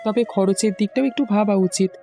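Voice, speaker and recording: An eager male villager from a cartoon , acted by a man, with a soft, dark-toned voice. He sounds polite and earnest, and music plays behind him. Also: high-pitched, a little fast, vocal fry. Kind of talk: cartoon dialogue.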